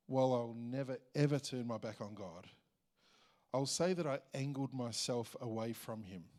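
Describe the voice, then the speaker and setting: A man reading a prepared church testimony in a measured, earnest way. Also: mid-range voice, a little mellow. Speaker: church member sharing testimony.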